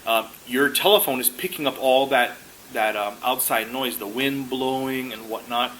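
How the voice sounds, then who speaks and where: A man giving informal, explanatory advice as a career coach, halting at times and repeating words for emphasis. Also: medium pitch, steady tone, a bit bright, slight background noise, poor audio.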